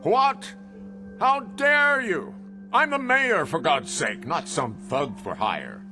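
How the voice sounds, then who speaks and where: An actor playing a pompous, defensive middle-aged city mayor in a video game, speechifying with indignation. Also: male voice, fairly high pitch, very animated, slow, over music, heavily acted. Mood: indignant.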